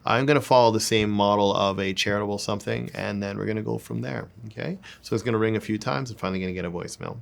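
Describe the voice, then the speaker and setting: A man's voice: on the low side, quick pace, creaky, few pauses. A man giving an informal sales coaching lesson, repeating words and phrases for emphasis. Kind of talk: informal instructional talk with demonstrations.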